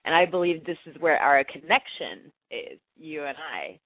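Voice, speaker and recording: A woman's voice: low voice, big pitch swings, normal speed, no room sound. A woman chats casually as an interview guest. Her voice comes through dry and narrow, like a phone call. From France. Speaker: actress being interviewed. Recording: tinny, phone-like narrow-band audio.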